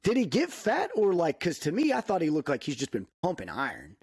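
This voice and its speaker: A man's voice: a little high, wildly swooping pitch, a little fast, darkish tone, breathy edge, no room sound. Chatty thirtysomething man with a plain, dry sound. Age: 30s. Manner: chatty and upbeat, full of "um" and "like" fillers. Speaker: podcast co-host. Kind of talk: casual podcast chat.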